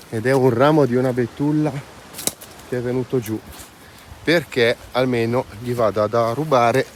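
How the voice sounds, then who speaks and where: A man chatting casually and enthusiastically to viewers as an outdoor vlog host. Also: mid-range voice. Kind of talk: casual chat to camera. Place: mostly outdoors in mountain valley and woods. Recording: noisy outdoor ambience.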